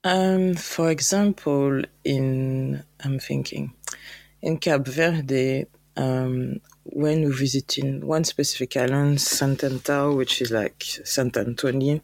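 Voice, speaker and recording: A French-accented woman speaks in an upbeat, animated way, now and then pausing to search for a word. Her voice carries a gravelly, creaky texture. The recording is dry and close, with no room sound. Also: very deep voice, no echo. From France. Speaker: guest, travel company founder. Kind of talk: conversational interview.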